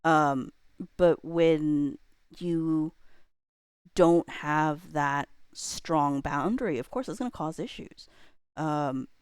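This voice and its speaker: A woman in her thirties talks in a low register, recorded dry with hardly any room sound. She chats reflectively like a streamer addressing viewers. Her delivery rambles, with hedged phrases and thoughts left trailing. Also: slow, darkish tone, clear, resonant tone, no room sound. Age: millennial, around 30s. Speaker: livestreamer. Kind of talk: casual reflective chat to viewers.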